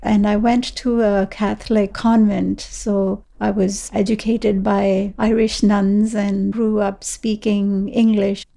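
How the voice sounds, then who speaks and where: Older woman from Kashmir, gravelly and mellow. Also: medium pitch, little pitch movement, takes it slowly, few pauses. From Kashmir, India. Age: 70s. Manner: reflective, with frequent fillers and restarts. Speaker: interviewee. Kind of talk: personal storytelling.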